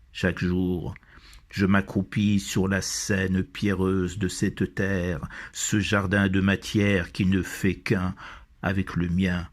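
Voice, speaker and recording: A man reciting poetry in a solemn style, with measured, deliberate phrasing. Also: low voice.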